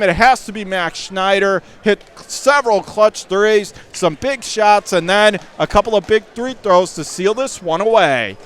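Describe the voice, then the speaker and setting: High-pitched man calling basketball play-by-play. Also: a bit bright, slight background noise. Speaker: play-by-play sports announcer. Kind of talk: live high school basketball radio commentary. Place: inside a school gym during a game.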